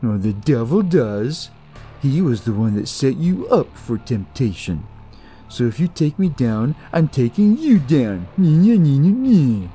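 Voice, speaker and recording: A man's voice: mid-range voice, sing-song, unhurried, dark tone, husky, soft background music, voice pushed up. A man voicing a whiny, boastful, spiteful devil worshipper in an audio horror story. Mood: taunting. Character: snarling, whiny devil-worshipping male villain, smug and spiteful.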